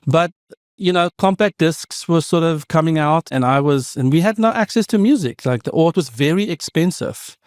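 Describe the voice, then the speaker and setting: A man's voice: on the high side, animated, normal speed, a little mellow, no room sound, studio quality. A man in his fifties from South Africa, with Afrikaans as his first language and a South African accent, telling stories as a guest on a podcast in a reflective, meandering way with many asides. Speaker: podcast guest, tech founder. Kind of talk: conversational interview storytelling.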